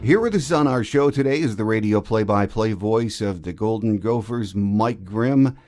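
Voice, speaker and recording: A man hosts a sports podcast in a fairly low voice. He presents and interviews, with a touch of music in the background. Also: moderate pace, a little mellow. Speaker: podcast host.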